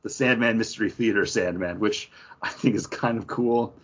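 Low-voiced man, casual podcast talk. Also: moderate pace. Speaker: comics podcast co-host. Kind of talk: casual discussion. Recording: dull lo-fi audio.

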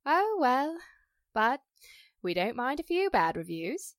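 A woman playing a famous, high-strung Broadway actress in a theatrical 1940s radio drama style. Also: big pitch swings, slow pace. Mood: polite, gracious.

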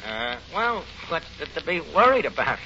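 Blustery New York wiseguy, muffled old radio. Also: male voice, a little high, very animated, normal speed, bright, forceful tone, full character voice. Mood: uneasy. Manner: malapropisms, slangy ungrammatical bluster. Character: anxious, blustering tavern manager, comic wiseguy. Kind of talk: 1940s radio comedy acting. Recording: muffled, noisy 1940s radio recording.

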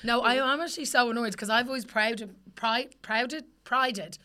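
A young woman with a bright, forward voice, co-hosting a chatty podcast. Her voice is still raspy from a recent illness. She talks freely, swears, and laughs easily. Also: on the high side, moderate pace. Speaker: podcast co-host. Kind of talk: casual chat.

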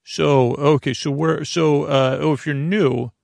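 A man with a creaky voice hosting a sleep podcast, rambling through a goofy, self-deprecating bedtime monologue with halting repeats, drawn-out words and droning tangents. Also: fairly high pitch, steady tone, a little mellow, no echo, studio quality. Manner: meandering, halting, repetitive, with trailing tangents.

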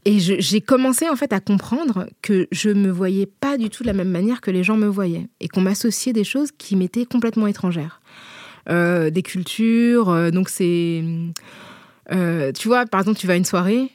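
A woman's voice: medium pitch, moderate pace, a little mellow, no room sound. Reflective woman from the Paris area, dry sound. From Paris area, France. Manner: reflective, frequent fillers and restarts. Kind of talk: casual conversational chat.

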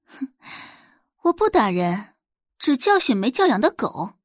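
A woman voicing a confident, direct and tough new high school student in an audiobook drama. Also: sing-song, measured pace, no echo, clean studio sound. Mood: cool, threatening. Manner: cool, assured, direct. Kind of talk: audiobook character acting.

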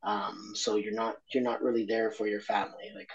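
A man from Argentina speaking candidly as an interview guest, reflective and halting, with many fillers and restarts. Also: fairly low pitch, fairly even intonation, gravelly, lo-fi sound.

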